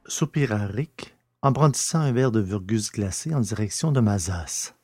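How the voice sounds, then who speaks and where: A man's voice: fairly low pitch, animated, moderate pace, a little mellow, breathy edge, dry recording, clean studio sound. A man narrating a scripted science fiction adventure story in a literary, storytelling style.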